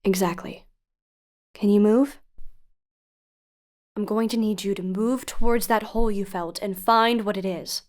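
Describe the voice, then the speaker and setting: A woman's voice: mid-range voice, measured pace, pauses often, a little mellow, close to an everyday voice. A woman acting as an earnest, no-nonsense guide in an audio drama, speaking with urgent, stern emphasis. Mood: urgent, reassuring. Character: earnest, no-nonsense woman guiding a reckless youngster.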